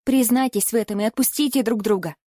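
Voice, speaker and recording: A woman's voice: a little high, fast talker, mellow, no echo, clean studio sound, lightly acted. A woman narrator voicing an earnest young adult daughter, pleading and soothing yet resolute. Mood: pained resolve.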